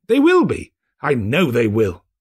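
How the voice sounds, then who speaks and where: A man voicing a giddy, overjoyed old miser reformed overnight, excitable, breathless and full of exclamations, in a storybook reading. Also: mid-range voice, a little slow, slightly rough, heavily acted. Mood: tearful relief.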